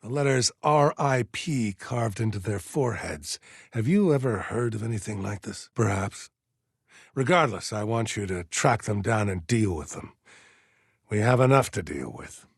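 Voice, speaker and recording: A man voicing a stern, aging militia colonel in a video game, formal and measured as he gives orders with deliberate pauses. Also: on the low side, expressive, gravelly, breathy edge, no room sound, clean studio sound, heavily acted. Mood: stern, commanding. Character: stern aging militia colonel, dutiful, zealous, wary, slightly forgetful. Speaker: military commander giving orders. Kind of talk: scripted video game character dialogue.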